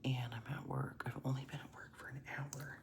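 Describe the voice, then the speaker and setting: A young man tells a long story in a soft ASMR whisper while he does his makeup. His murmured voice has a gravelly, fry-laden edge and sits at a middling pitch. He rambles loosely, fills his sentences with "like," and swears offhandedly. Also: little pitch movement, normal speed. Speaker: vlogger.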